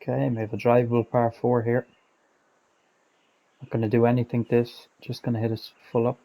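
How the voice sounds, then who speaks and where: Man, gaming host, flat even delivery, a bit hoarse, chatty self-critical play-by-play. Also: medium pitch, normal speed, lo-fi sound, crowd noise. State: losing his voice, clearing throat. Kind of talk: gameplay commentary.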